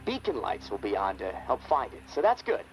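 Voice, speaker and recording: A man's voice: on the low side, huge pitch swings, fairly quick, faint music behind, rough recording. A man playing a friendly, eager video game technician who guides a survivor, hesitant and stammering. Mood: excited, chatty. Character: friendly, nervous, eager male technician guiding survivor by radio. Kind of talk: naturalistic video game voice acting.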